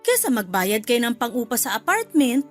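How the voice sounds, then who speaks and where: A woman acting a warm, hospitable middle-aged mother in a Filipino radio drama, motherly and gently insistent. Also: on the high side, very animated, normal speed, faint music behind. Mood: insistent, persuasive. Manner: motherly, persuasive.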